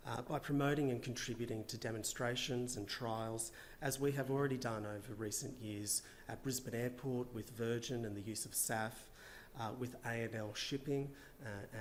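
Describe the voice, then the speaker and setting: Hoarse man giving a panel talk. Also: mid-range voice, a little hiss or hum. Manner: measured, partly read remarks with frequent uhs. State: losing his voice. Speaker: government official. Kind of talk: conference panel presentation.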